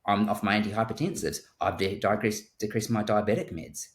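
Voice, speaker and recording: Deep-voiced New Zealand man, roomy sound. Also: fairly quick. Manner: thoughtful, repeats words when starting sentences. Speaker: guest surgeon. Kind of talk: conversational interview. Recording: roomy echo.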